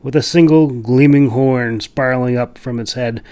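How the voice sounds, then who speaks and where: A man's voice: medium pitch, fairly even intonation, normal speed, mellow, smooth. A man narrating a fantasy adventure as a scripted audiobook, in a solemn, theatrical manner. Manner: solemn, theatrical, swells on action and drops for somber passages. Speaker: audiobook narrator, author reading own book. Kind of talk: dramatic scripted fantasy storytelling.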